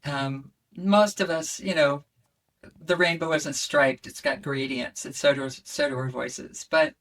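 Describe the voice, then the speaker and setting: A woman voice coach explaining her ideas in an animated, conversational way and breaking into demonstration sounds. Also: deep voice, measured pace. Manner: expressive, demonstrates voices with yawns, howls and shouts. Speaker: voice coach guest.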